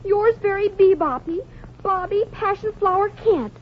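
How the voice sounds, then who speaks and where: A woman's voice: very high-pitched, measured pace, muffled recording. An actress playing an indignant, swoony young American girlfriend in a 1940s radio comedy. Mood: sarcastic. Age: young adult. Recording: noisy, band-limited old radio recording.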